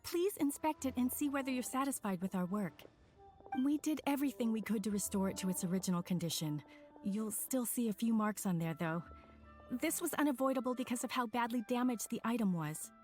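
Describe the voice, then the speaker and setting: A woman's voice: a little high, expressive, fairly quick, darkish tone, soft background music, lightly acted. An actor, a woman, playing a polite, deferential craftswoman in a video game, courteous and earnest. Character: polite, deferential craftswoman serving a noble client.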